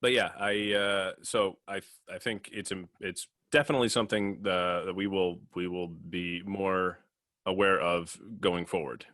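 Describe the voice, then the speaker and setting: Low-voiced man, hesitant podcast chat. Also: steady tone, normal speed, a bit bright, no echo, studio quality. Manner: hesitant, rambling, laughs easily. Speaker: podcast host and player. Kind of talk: casual discussion.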